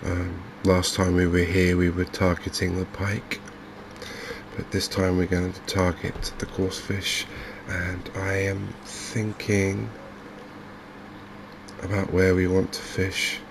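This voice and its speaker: Slow, very monotone, creaky English man. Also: low-pitched. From England. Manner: hesitant, unhurried, softly spoken. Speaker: gaming video host. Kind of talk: soft-spoken ASMR gameplay commentary. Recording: clear steady background noise.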